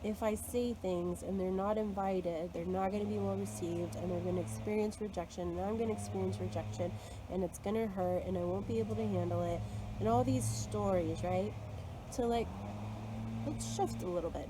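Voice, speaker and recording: A woman talking alone in a reflective, earnest way, coaching herself and her listeners through fear. She fills her speech with "like" and laughs softly now and then. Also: medium pitch, brisk, noisy background. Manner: earnest, self-coaching, frequent "like" fillers and soft laughs. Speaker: spiritual content creator. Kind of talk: reflective solo talk.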